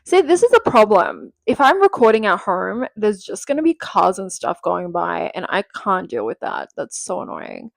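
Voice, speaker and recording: Chatty woman in her twenties, gravelly. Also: medium pitch, sing-song, normal speed, hardly any pauses, dry recording, poor audio. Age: 20s. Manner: chatty and rambling, with frequent fillers and laughs. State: sick and a bit nasal. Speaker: podcast host. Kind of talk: casual solo chat. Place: home.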